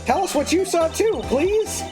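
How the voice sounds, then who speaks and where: A woman's voice: very high-pitched, expressive, a little slow, over music, voice pushed up. A woman voicing an eager, curious young woman sidekick in a read-through of a mystery game. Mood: eager, pleading.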